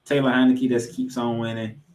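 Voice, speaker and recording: A man's voice: medium pitch, little pitch movement, fairly quick, over a noisy crowd. A man co-hosting a sports podcast, giving football recaps with emphatic, announcer-like delivery of scores and stats mixed with casual banter.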